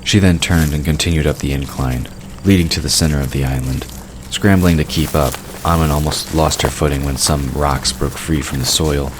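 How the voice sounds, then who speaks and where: A man's voice: rumbling low, steady tone, normal speed. A man reading scripted third-person narration for a fantasy audio drama. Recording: clear background noise of night crickets.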